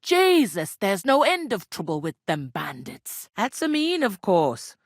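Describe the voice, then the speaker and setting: An actress playing a plain-spoken, bossy, world-weary village innkeeper in a medieval video game, with a rustic working-class English regional accent and a blunt, wry manner. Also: female voice, medium pitch, sing-song, a little slow, no echo, clean studio sound. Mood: concerned, gossipy. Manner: blunt, earthy, colloquial, wry. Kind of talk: video game character dialogue.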